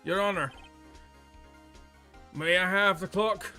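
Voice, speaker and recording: A man acts out an earnest male defense lawyer from a courtroom game. The voice is fairly high and bright, and the delivery dramatic. Music plays underneath. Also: steady tone, takes it slowly, exaggerated voice. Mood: confident.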